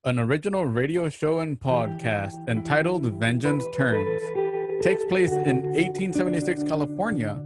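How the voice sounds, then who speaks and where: A man's voice: a little high, expressive, normal speed. A man reading a dramatic promotional sponsor spot as an announcer, with music behind him. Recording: loud plucked guitar music under voice. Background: loud guitar music.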